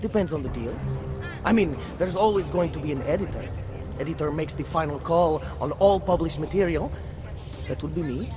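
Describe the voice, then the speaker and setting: An actor playing a paranoid, defiant underground journalist in a video game, a fervent idealist who rambles and stammers in slangy conspiracy rants. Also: male voice, a little high, normal speed, music in the background, natural voice. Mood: proud, zealous.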